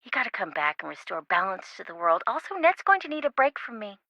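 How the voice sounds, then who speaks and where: A woman's voice: mid-range voice, very animated, fast talker, pushed and bright, no room sound, exaggerated voice. An actress playing a sassy young woman, a wisecracking best friend in a video game, with a teasing, sarcastic manner. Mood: teasing, chatty. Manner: teasing, sarcastic, dryly exaggerated.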